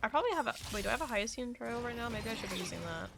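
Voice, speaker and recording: A woman's voice: a little high, expressive, very fast, noisy background. A woman streaming a game, giving casual, off-the-cuff live commentary.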